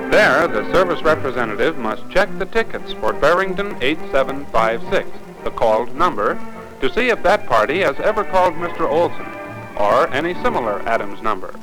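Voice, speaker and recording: An American man reading formal, deliberate, instructive narration for a 1950s training film. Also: medium pitch, big pitch swings, low-quality recording. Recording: 1950s film soundtrack, music behind.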